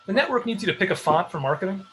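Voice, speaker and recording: A man playing a dry, unimpressed show staffer in his thirties in a comedy table read, deadpan and needling. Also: sits high, rapid-fire.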